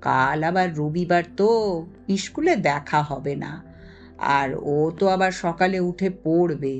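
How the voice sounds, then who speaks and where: Woman as stooped male teacher, sinister, polite, hesitant, slow delivery, storybook reading, background music. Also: low voice, voice pushed down. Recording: music under the voice.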